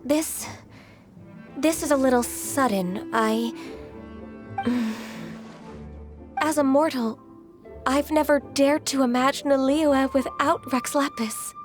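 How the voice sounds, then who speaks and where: A woman playing a gentle, earnest half-human adeptus in a video game, speaking politely and formally with slight hesitance. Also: sits high, takes it slowly, pauses often. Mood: flustered. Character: gentle, earnest, ancient half-qilin female adeptus secretary. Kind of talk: video game cutscene dialogue. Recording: music under the voice. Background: background music.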